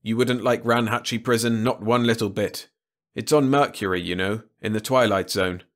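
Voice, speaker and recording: A man's voice: fairly low pitch, fairly even intonation, moderate pace, close to an everyday voice. A man voicing a gloomy Slavic spaceship crewman with a dry, sardonic manner in an audiobook story. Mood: gloomy relish.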